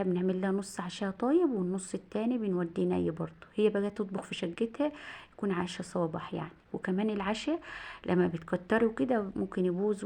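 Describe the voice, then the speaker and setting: Gravelly fast-talking Egyptian woman. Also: medium pitch, warm tone. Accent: Egyptian Arabic. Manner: rambling, many fillers and restarts. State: voice a bit hoarse and tired. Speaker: cooking vlogger. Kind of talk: casual chat to viewers.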